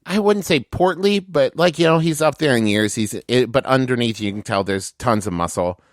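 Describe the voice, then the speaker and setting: A man's voice: medium pitch, moderate pace, no room sound, clean studio sound. A man who is a tabletop game player, improvising character backstory in a casual, explanatory, conversational way full of filler words.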